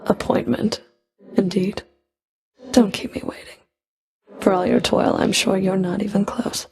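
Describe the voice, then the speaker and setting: A woman playing a cold, proud Fury of the underworld in a video game, dry and cutting, with a creaky edge to her voice. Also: medium pitch, fairly even intonation, a little slow, a little mellow, faint music behind. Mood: dry, sardonic. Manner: dry, cutting, haughty, controlled. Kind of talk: video game character voice lines.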